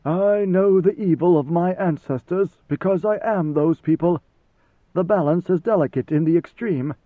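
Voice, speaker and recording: A man reading an audiobook, voicing an ancient, weary god-emperor who speaks slowly and solemnly. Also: high voice, dry recording, pitched above the performer's own voice. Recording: thin phone-like dry sound.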